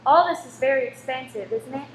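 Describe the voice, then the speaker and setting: A woman playing a polite, protective wife in a radio play, modest, guarded and gently wry. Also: sits high, a little fast, natural voice. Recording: echoey, faintly noisy room.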